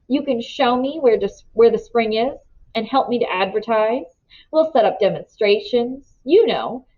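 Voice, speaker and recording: A woman reading a storybook voices a smug, greedy man who talks with petulant, thin politeness. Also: muffled recording. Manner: smooth talk turning higher, petulant and shrill.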